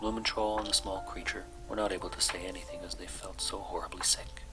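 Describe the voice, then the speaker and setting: A man reading a children's story aloud as soft-spoken bedtime narration, with music behind him. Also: deep voice, flat delivery, fast. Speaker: storybook reader.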